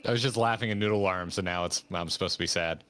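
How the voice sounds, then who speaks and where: A man's voice: deep voice, fast, fairly bright tone, slight background noise. A man giving casual, joking reaction commentary as a streamer watching game cutscenes. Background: game cutscene music.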